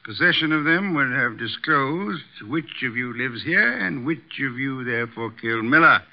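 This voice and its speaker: An actor playing an imperious, precise detective mastermind with pompous, formal diction in 1950s radio drama style. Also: male voice, medium pitch, expressive, normal speed, close to an everyday voice. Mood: measured, authoritative. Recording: dull old radio broadcast recording.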